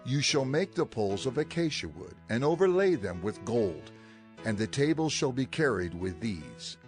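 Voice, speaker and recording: An actor playing a solemn male scriptural figure giving firm instructions in a measured, declamatory dramatized reading. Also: mid-range voice, sing-song, soft background music, close to an everyday voice. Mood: solemn, instructive.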